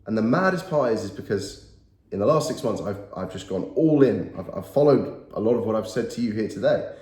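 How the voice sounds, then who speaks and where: Guy in his 30s, stuffy nasal voice from a cold, low mellow tone, kinda echoey room. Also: animated, fairly quick. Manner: earnest, emphatic, repeats key phrases. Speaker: motivational YouTuber. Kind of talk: motivational talk to camera. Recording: roomy echo.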